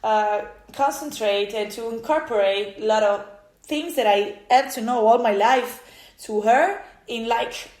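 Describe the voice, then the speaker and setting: Italian woman, italian accent, halting and emotional, slow, echoey room. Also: a little high, darkish tone. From Italy. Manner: halting and emotional, with many restarts. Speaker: vlogger. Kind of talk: personal storytelling.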